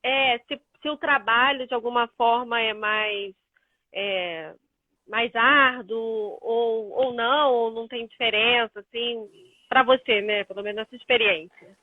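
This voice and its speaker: A woman's voice: on the high side, unhurried, no echo. Woman moderator on tinny phone audio. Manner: friendly, searching for words while asking. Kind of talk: casual interview over a live stream. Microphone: phone audio on a video call.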